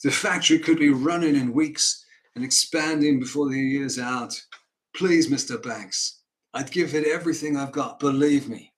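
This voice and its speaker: A man's voice: medium pitch, moderate pace, close to an everyday voice. Man acting an earnest northern factory man, pleading. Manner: heartfelt, pleading.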